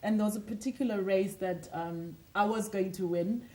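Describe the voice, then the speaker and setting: A woman's voice: mid-range voice, normal speed, darkish tone, poor audio. A woman from Zimbabwe, a lifestyle coach and author, speaking earnestly and motivationally as a guest in a TV studio interview.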